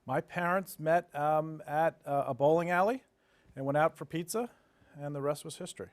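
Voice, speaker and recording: A man's voice: on the high side, moderate pace, dry recording. A man in his fifties from New Jersey, telling stories casually and matter-of-factly as an interview guest. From New Jersey, United States. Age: 50s. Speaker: interview guest, development executive. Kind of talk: conversational interview storytelling.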